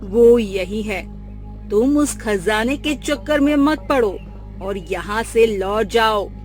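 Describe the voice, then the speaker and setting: A woman plays an eerie, menacing female spirit who guards a forest in a cartoon tale. She speaks very slowly with theatrical command, over light music. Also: fairly high pitch. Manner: ominous, commanding. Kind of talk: theatrical Hindi cartoon story dialogue.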